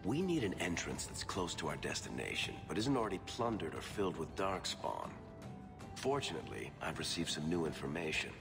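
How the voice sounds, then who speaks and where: A man voicing a smooth-talking, scheming adventurer in a video game, conversational and confiding. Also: extremely low pitch, big pitch swings, fairly quick, music in the background, full character voice.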